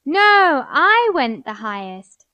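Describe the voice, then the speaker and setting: A woman voicing an excited, boastful young schoolgirl in a cartoon story. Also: high voice, slow, dry recording, highs cut off, heavily acted. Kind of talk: acted children's story dialogue.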